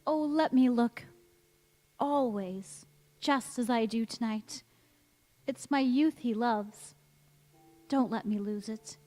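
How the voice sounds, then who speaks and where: A woman's voice: fairly high pitch, slow, long pauses, darkish tone, faint music behind. A woman acting a sweet, sentimental young bride-to-be with earnest, theatrical delivery in an old-time radio drama. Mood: fervent pleading. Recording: echoey church hall stage recording.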